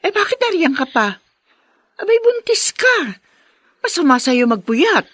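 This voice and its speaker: A woman's voice: very high-pitched, sing-song, unhurried, a bit bright, dry recording, studio quality, exaggerated voice. A woman with a Filipino accent playing a caring, fussing middle-aged mother in a radio drama. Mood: fussing, concerned. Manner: concerned, motherly scolding.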